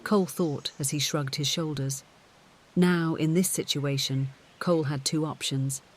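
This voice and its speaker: A man's voice: on the high side, steady tone, measured pace. A man reading scripted audiobook fiction as its narrator.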